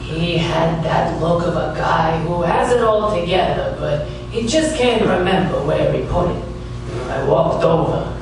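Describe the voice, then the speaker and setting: Echoey, distant actor as wisecracking noir private eye. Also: male voice, on the high side, expressive, a little slow, creaky, background noise. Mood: wry narrating. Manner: sardonic, wisecracking. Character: wry, wisecracking hardboiled male private detective. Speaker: narrator and lead character. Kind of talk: comic noir radio theater. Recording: roomy, distant microphone, music behind.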